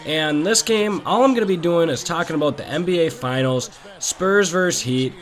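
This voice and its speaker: Hoarse young-sounding man talking sports. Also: on the high side, moderate pace, rough recording. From Minnesota, USA. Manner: chatty and opinionated, addresses viewers directly. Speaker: gaming channel host. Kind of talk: casual sports talk over gameplay.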